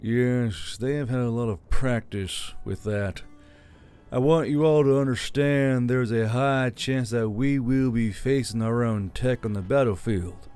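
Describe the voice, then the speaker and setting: Man acting as stern militia commander, mid-pitched, weary, regretful, audiobook drama, music behind. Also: unhurried, a little mellow, smooth, natural voice. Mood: firm, commanding. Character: weary, stern male militia leader carrying guilt.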